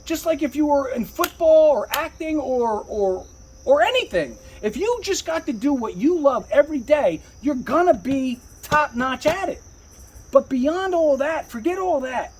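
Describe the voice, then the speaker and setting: A man with a husky voice telling his story casually, in emphatic, repetitive, slangy talk with frequent swearing. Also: extremely high pitch, animated, a little slow, a little mellow, a little hiss or hum, poor audio. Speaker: rapper, interview guest. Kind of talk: casual rambling personal storytelling.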